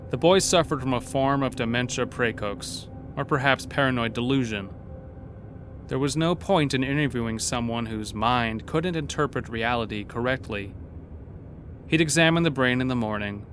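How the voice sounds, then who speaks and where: A man's voice: medium pitch, a bit bright, a little hiss or hum. A man narrating a scripted horror story in a dramatic storytelling style.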